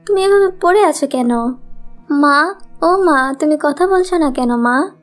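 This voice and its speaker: A woman's voice: high-pitched, measured pace, faint music behind, voice pushed up. A woman voicing an innocent little village girl in a fairy-tale cartoon, with a childlike, plaintive manner. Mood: distressed.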